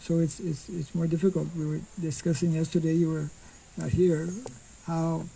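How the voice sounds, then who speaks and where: An older monk giving an informal scripture class outdoors into a stand mic, speaking slowly and haltingly and clearing his throat often. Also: a little high, flat delivery, dark tone, a little hiss or hum, poor audio. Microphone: stand mic in front.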